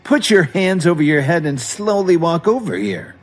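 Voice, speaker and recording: Audio drama villain, acted by a man: desperate male kidnapper, animated, pitched up, agitated. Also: moderate pace, soft background music. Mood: taunting. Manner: taunting, agitated.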